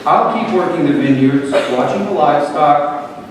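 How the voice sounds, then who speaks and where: A man's voice: on the high side, moderate pace, background noise, natural voice. A man playing a weary, wry old father in a dramatic reading of a parable, theatrical and emphatic in delivery. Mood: resigned, tender. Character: weary, wry, long-suffering old father of a rebellious son. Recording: roomy echoing hall, distant microphone.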